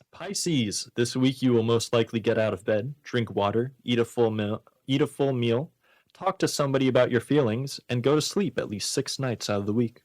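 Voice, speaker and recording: A man's voice: medium pitch, a little mellow, no echo. A man hosting a radio comedy show, reading jokes and bantering casually with dry, mock-serious commentary. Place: radio studio.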